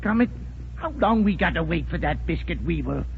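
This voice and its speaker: A man's voice: fairly high pitch, lively intonation, a little fast, vocal fry, over music. An actor playing a crotchety, hungry old salty father who grumbles in blunt, folksy seafaring slang in a 1940s radio play. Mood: grumpy. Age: older. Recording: muffled, noisy 1940s radio recording.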